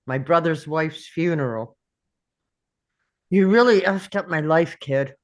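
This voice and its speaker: A woman reading the part of a sardonic old uncle in a deadpan, grumbling manner at a script table read. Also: low voice, slow pace. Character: gruff, sardonic old uncle, grudgingly fond of his nephew.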